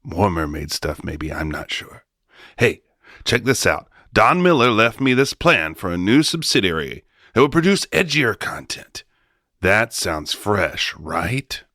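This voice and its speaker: A man's voice: lowish voice, big pitch swings, measured pace, bright, forceful tone, dry recording, exaggerated voice. Man playing a bombastic studio boss, wildly swooping voice. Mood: musing, dismissive. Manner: blustering, dismissive, erratic. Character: bombastic, scatterbrained, self-important male studio executive. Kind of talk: comic radio drama acting.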